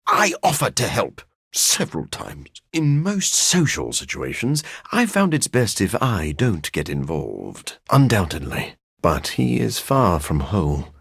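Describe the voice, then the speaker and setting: An actor playing a brooding, erudite vampire scientist in a video game, raspy and gravelly, with formal, precise, deadpan phrasing and a dry sardonic streak. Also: male voice, on the low side, unhurried, dry recording, full character voice. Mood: dry, measured. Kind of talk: video game character voice lines.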